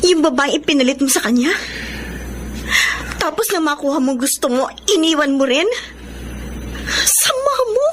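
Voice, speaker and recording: An actress playing a hurt, emotional young student and devoted younger sister in a Filipino radio drama. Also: female voice, high-pitched, expressive, normal speed, long pauses, slight background noise. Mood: accusing, anguished. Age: young adult. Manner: emotional, pleading.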